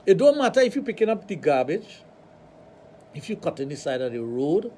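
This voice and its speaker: A man with a Trinidadian accent, hosting a radio talk show and telling animated stories with acted-out dialogue. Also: fairly high pitch, fairly quick. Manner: animated storytelling, acts out dialogue. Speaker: radio show host, sexologist.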